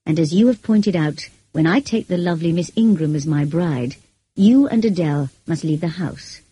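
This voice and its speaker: A woman as a breathy, commanding romantic gentleman. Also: sits low, moderate pace, no room sound, rough recording. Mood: calm teasing. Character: commanding gentleman master, romantic, teasing, intense.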